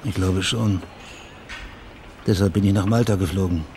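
Male private investigator, radio crime play: low register, plain-spoken, probing, background ambience audible. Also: steady tone, moderate pace, a little mellow, a little hiss or hum. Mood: matter-of-fact. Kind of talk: German radio crime drama acting.

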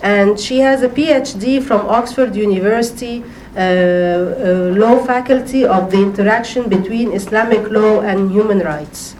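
A woman's voice: medium pitch, unhurried, a little mellow, slight background noise, low-quality recording. A woman moderating a conference panel, speaking hesitantly with many fillers as she introduces a speaker.

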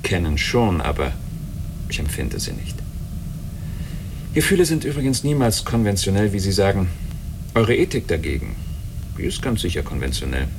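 A man's voice: sits low, sing-song, normal speed, long pauses, background noise. A man acting as a human-looking android in a German radio drama, coolly logical, detached and faintly mocking, lecturing in an articulate, self-assured way. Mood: detached, reflective.

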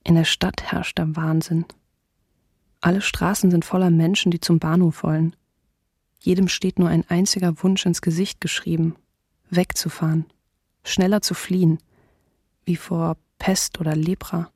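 An actress playing a shaken but sober city diarist in a radio docudrama, reading in an even, restrained, husky voice. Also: female voice, fairly low pitch, unhurried, dry recording, studio quality. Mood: alarmed, restrained. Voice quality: husky, creaky.

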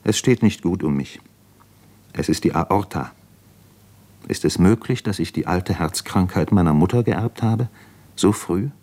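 A man's voice: on the low side, dark tone. An actor playing a brooding, ailing man who searches himself in an introspective diary monologue for a radio play.

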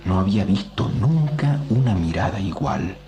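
An actor playing a comics writer who narrates a strange visitation in a radio play, measured and suspenseful. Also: male voice, lowish voice, lively intonation, warm tone, faint music behind. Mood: quiet awe.

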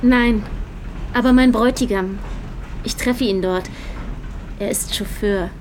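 Casting: woman as reserved young typist with quiet defiance, higher voice, faint background noise, radio play. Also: a little slow, close to an everyday voice. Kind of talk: naturalistic radio drama dialogue.

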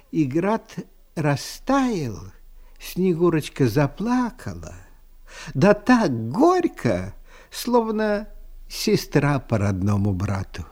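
Slow, high-pitched man telling a fairy tale. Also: a little mellow. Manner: unhurried, dramatic phrasing. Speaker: storyteller. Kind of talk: expressive fairy tale narration for an audio storybook.

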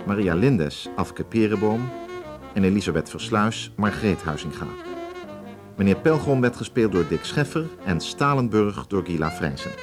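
Male announcer for formal scripted radio credits, deep pitch, read over clearly audible music. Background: prominent music with brass and guitar.

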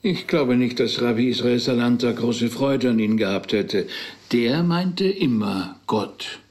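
An actor playing a rabbi in a radio play, measured and questioning, with dry humour and gentle skepticism. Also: male voice, medium pitch, natural voice. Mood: dryly skeptical.

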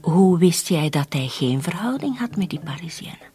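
A woman playing a commissioner's inquisitive, persistent wife in a radio drama, with a husky, breathy voice. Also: lowish voice, lively intonation, moderate pace, dark tone, soft background music, close to an everyday voice. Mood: curious, insistent. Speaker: actor in a radio play. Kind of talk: Dutch-language radio drama dialogue.

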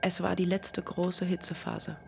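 A woman playing the last living person, calmly narrating memories of her childhood on a farm. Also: on the low side, creaky, over music. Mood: reflective. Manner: thoughtful, measured. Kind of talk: reflective narration.

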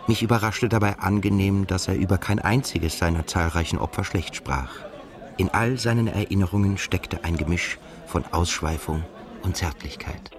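A male actor playing a melancholy, haunted émigré narrator in a literary radio drama, speaking in a measured, reflective way. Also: low-pitched, crowd noise, close to an everyday voice. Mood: detached, observant.

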